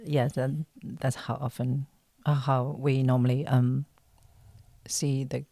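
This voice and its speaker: A woman with a Chinese accent speaks casually as a guest in an interview. Her phrasing is simple and halting as she searches for the right words in her second language. The sound is dry, with very little room to it. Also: rumbling low, level tone, mellow, no room sound. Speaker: actress, podcast guest.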